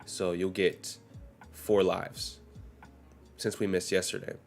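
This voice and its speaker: A man's voice: deep voice, level tone, slow, gravelly, faint music behind. A young man streaming live forex trading, talking casually while getting over a cold and now and then coughing. He goes through the day's economic news and reads headlines aloud in a loose, conversational way.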